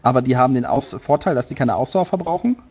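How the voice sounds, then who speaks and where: A man's voice: mid-range voice, lively intonation, fast, darkish tone, husky. A man playing a tabletop game, skeptically arguing strategy and explaining with restarts. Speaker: tabletop player.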